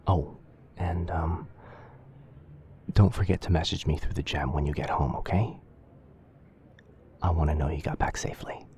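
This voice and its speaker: Man playing a low, soft-voiced caring wizard boyfriend. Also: steady tone, normal speed, pauses often, slight background noise. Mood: soft, reassuring. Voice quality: soft, breathy, often near whisper. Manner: tender, hesitant, coaxing. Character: gentle, caring young male wizard, attentive boyfriend. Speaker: roleplay audio performer. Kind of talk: boyfriend ASMR roleplay.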